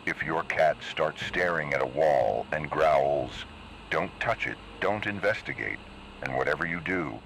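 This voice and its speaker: A grave, ominous narrator of an eerie warning tape, performed by a man, speaks in an extremely low, gravel-edged voice. The phrasing is measured and deliberate, like scripted analog horror narration. Clear noise sits in the tape-style recording. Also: expressive, fairly bright tone, exaggerated voice, rough recording. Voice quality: very deep, gravelly.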